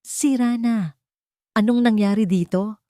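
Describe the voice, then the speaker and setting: A woman narrator voicing a reserved, authoritative billionaire father in a story, with a quiet, restrained manner. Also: a little high, a little slow, no echo, studio quality, voice pushed up, lightly acted. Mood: cold. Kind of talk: story character dialogue.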